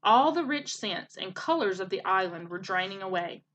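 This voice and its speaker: A woman's voice: medium pitch, lively intonation, normal speed, a bit bright, slight background noise. A woman narrating a children's storybook expressively, like a teacher reading aloud.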